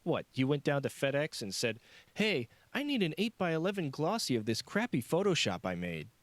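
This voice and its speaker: Man as middle-aged male scientist, dismissive, sarcastic, condescending, audiobook character, raised pitch, dry recording. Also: sing-song, moderate pace, dry recording. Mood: suspicious, scornful. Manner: snide, condescending, curt.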